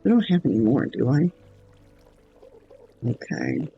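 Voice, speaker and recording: A man streaming a game in casual chat, hoarse with his voice going in and out, rambling slowly with many pauses and ums. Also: medium pitch, huge pitch swings, muffled recording, soft background music.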